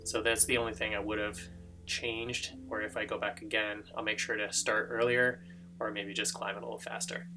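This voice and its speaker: A man's voice: on the low side, level tone, moderate pace, over music, poor audio. A man hosting his own climbing video, chatting casually and rambling through an anecdote with a laugh. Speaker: show host introducing episode. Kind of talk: casual chat.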